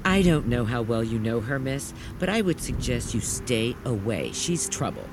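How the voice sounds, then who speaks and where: A man's voice: medium pitch, big pitch swings, normal speed, background noise, natural voice. An actor playing a stern, guarded man in an audio drama, curt and accusing. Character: stern, guarded man who enforces strict rules. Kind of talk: audio fiction acting. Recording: loud music behind the voice.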